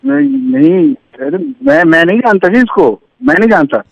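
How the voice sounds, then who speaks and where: A man's voice: very high voice, sing-song, moderate pace, gravelly, dry recording. A man in casual phone talk, protesting and repeating himself as a prank call victim. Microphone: telephone. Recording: dull lo-fi phone line.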